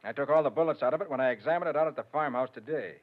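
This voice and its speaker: An actor playing a cool, shrewd detective hero in a 1940s radio crime drama, composed and assured. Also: male voice, medium pitch, very fast, lightly acted. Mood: calm, in control. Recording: muffled vintage radio recording.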